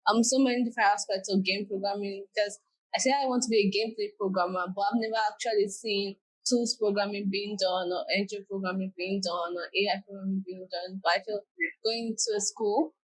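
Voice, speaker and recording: A woman's voice: mid-range voice, steady tone, fairly quick, husky, poor audio. A young woman, her Yoruba, chatting easily as an interview guest. She talks in loose, friendly runs, often leaning on "like" as she goes. Her voice sits at an ordinary middle height. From Nigeria. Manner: chatty, many "like" fillers. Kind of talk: casual interview talk.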